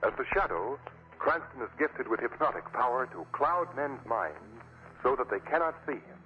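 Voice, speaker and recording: A man's voice: mid-range voice, lively intonation, normal speed, faint music behind. A man narrating a formal introduction in 1940s radio style. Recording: tinny narrow old radio broadcast.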